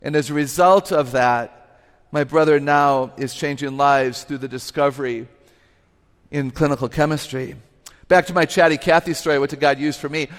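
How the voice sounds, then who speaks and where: A woman with an unusually low voice gives a lively, humorous talk to a live audience through a microphone. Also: normal speed. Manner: lively, humorous, emphatic, laughs at herself. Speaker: guest speaker and author. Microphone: microphone on a stage. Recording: live talk with long hall reverb.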